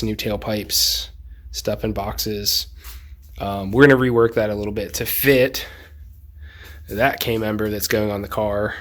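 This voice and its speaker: A young man with a congested voice from a cold, casually talking through work on his project car in a home garage, with lots of ums and tips. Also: lowish voice. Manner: relaxed, rambling, lots of ums, offering tips. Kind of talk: casual garage build vlog.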